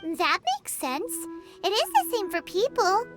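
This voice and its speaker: A woman voicing a small, childlike, chirpy and blunt fairy companion in an anime-style video game, with a squeaky voice. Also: big pitch swings, a little slow, over music, heavily acted. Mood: curious. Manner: speaks of herself in third person, exclamatory.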